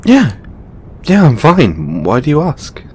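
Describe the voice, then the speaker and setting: A man playing an affectionate, stressed god and boyfriend in an intimate audio roleplay, speaking in a halting, softly playful way. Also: fairly high pitch, huge pitch swings, husky, heavily acted. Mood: defensive, flustered.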